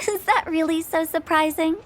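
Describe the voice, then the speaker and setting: High-pitched, mischievous young woman. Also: fairly even intonation, moderate pace. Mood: sly. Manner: playful, eloquent, teasing. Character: mischievous, theatrical young woman funeral parlor director. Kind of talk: English anime game dub. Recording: music playing behind the voice.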